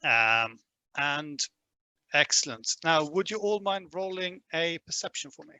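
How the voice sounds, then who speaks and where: Gm guy, bright voice, lots of uhs and ums, dry close mic. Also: on the high side, lively intonation, unhurried, clear, resonant tone, no room sound. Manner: hesitant, many fillers. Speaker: game master. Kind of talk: narrating a tabletop game.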